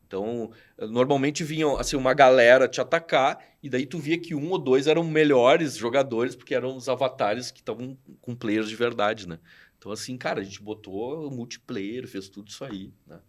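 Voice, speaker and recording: A man's voice: mid-range voice, normal speed. Casual podcast guest, male, southern Brazilian accent, fills his stories with quoted dialogue. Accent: southern Brazilian Portuguese. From Rio Grande do Sul, Brazil. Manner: animated, slangy, acts out quoted dialogue. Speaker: podcast guest, studio founder. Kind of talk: casual storytelling chat.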